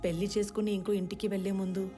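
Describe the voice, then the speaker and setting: Casting: actress for matter-of-fact film mother, concerned and advising, fast-talking, mid-range voice. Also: mid-range voice, level tone, over music, lightly acted. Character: practical, concerned middle-aged mother advising daughter. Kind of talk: film family drama dialogue. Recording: loud film score over dialogue.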